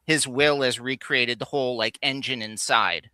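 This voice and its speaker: A man's voice: medium pitch, fairly even intonation, normal speed, sharp tone, clean tone, no room sound. A man in casual, explanatory conversation as a co-host on a geek talk stream. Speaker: guest co-host on a geek countdown livestream. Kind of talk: casual chat.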